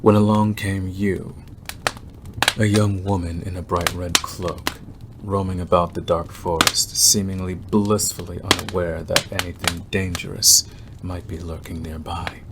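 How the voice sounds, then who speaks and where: An obsessive werewolf stranger played by a man speaks very low and slowly, teasing and menacing his prey in an ASMR roleplay. He leaves long, deliberate pauses, and clear background noise with clicks sits behind the voice. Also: flat delivery. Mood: menacing reminiscence.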